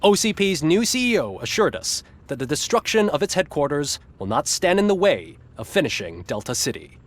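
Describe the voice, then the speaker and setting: A man's voice: fairly high pitch, very animated, brisk. A man playing a glib, satirical TV news anchor in a video game, with a polished, smugly ironic delivery. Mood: glib, upbeat. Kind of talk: scripted satirical newscast narration.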